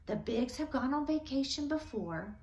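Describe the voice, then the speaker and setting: Woman reading as a patient storybook father, lively pitch. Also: moderate pace, reverberant. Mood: reassuring, insistent. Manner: patient, insistent explaining. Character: small calm father, reasoning and reassuring.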